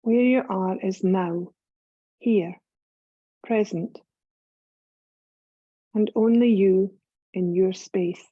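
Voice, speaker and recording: A woman guiding a scripted meditation as a yoga nidra teacher, calm and soothing, unhurried, with long pauses between phrases. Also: medium pitch, dry recording, lo-fi sound.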